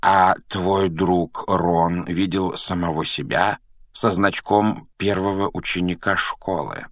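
Storybook role: wise elderly male headmaster, acted by a man, gentle, measured, very unhurried, close dry sound. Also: sits low, dry recording. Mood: gentle, knowing. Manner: slow, measured, knowing.

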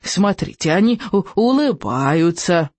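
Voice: medium pitch, very animated, measured pace, darkish tone, no echo. A narrator voicing a small, naughty, greedy dragon who stutters on first syllables, in an audiobook story. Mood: self-justifying.

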